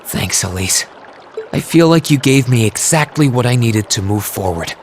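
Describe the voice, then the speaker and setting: An actor voicing an earnest, self-doubting teenage student in a video game, speaking sincerely and reflectively. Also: male voice, medium pitch, lively intonation, normal speed, slight background noise, natural voice. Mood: grateful, warm. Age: young adult. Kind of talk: video game cutscene voice acting. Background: trickling water ambience.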